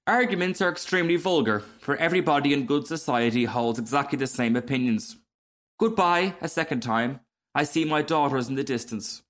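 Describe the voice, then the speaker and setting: A man's voice: mid-range voice, brisk, fairly bright tone, pitched above the performer's own voice, close to an everyday voice. A man voicing a small, cheerful male frog in a storybook, garrulous, genteel and very pleased with himself. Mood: complacent. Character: cheerful, chatty, self-satisfied little male frog. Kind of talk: storybook character reading.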